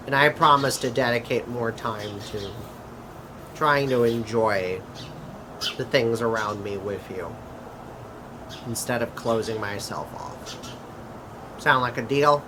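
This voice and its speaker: Over a noticeable bed of noise comes a man playing a chatty interdimensional adventurer. He talks slowly and hesitantly at a middling male pitch. The delivery is conversational audio drama dialogue. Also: natural voice. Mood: apologetic, earnest.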